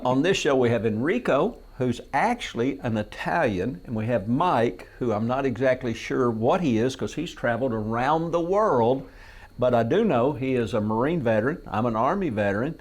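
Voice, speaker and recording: A man's voice: medium pitch, animated, normal speed. A man hosting a TV talk show, asking guests questions in a folksy, joking way. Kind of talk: casual TV interview. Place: television studio.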